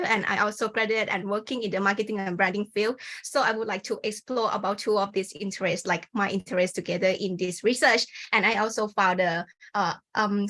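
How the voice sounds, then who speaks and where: A woman's voice: medium pitch, sharp tone. A young woman from Thailand with a Thai accent, a native Thai speaker giving an academic conference presentation in an informal way, full of fillers like "like" and "kind of", over a video call.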